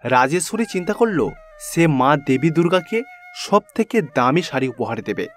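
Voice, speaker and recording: A man's voice: fairly high pitch, very animated, moderate pace, soft background music. A man narrating a scripted children's fairy tale as a storyteller.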